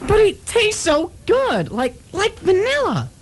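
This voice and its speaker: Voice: extremely high pitch, wildly swooping pitch, takes it slowly, exaggerated voice. A performer playing a childish, simple-minded, eager male sidekick with a childlike delivery in a comedic radio drama. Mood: childish delight.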